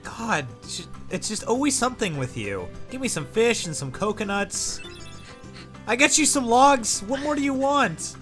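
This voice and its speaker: A man's voice: very high-pitched, sing-song, normal speed, fairly bright tone, faint music behind. A man bantering as a co-commentator on a game, with dry sarcasm and teasing remarks. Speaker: let's play co-commentator.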